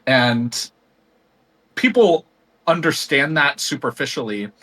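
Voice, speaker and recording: Bright-voiced man, thoughtful and rambling. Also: mid-range voice, expressive, slow pace. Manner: thoughtful, rambling with many ums. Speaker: guest singer. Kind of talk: casual interview conversation.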